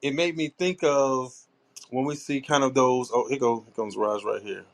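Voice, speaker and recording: A man's voice: mid-range voice, steady tone. A man hosts a casual podcast in a mid-range voice. He rambles, jokes with his friends and drifts off track. Now and then he stops to read a book passage aloud, stumbling over it. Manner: loose, joking, rambling; reads passages aloud haltingly. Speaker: podcast host. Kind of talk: casual chat.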